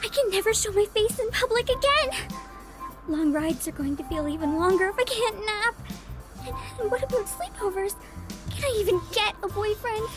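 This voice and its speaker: A woman playing an expressive, easily embarrassed teenage younger sister in a voiced visual novel game. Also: extremely high pitch, moderate pace, music in the background. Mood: playful, mortified.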